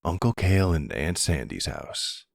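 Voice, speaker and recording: A man playing a charming, self-assured mafia boss boyfriend in an ASMR roleplay, speaking in a suave, measured, formal way. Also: low voice, very animated, creaky, dry recording, studio quality, exaggerated voice. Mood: suave, composed. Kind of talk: ASMR roleplay audio drama.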